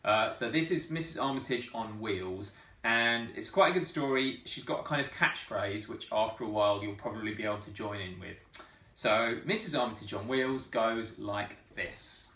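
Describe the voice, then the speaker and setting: A man reading a picture book aloud to schoolchildren, animated and full of sound effects. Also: on the low side, normal speed. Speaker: storytime reader for schoolchildren.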